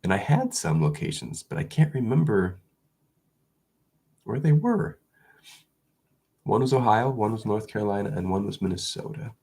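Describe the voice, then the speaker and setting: Deep gravelly congested man. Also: very animated, normal speed, long pauses. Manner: rambling, restarts, soft chuckles. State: congested, sniffling from illness. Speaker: video creator. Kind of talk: casual vlog chat.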